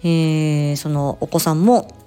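A woman speech therapist telling stories in a casual, expressive solo chat, acting out short quotes as she explains. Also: low voice, slow, clear, resonant tone, faint music behind. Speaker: speech-language therapist hosting an audio diary.

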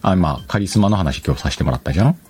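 A man with a very low, animated voice chats casually as a solo podcast host. He is playful and often corrects himself. Faint music sits behind his voice on the external microphone. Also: very fast. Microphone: external microphone, recorded on a computer.

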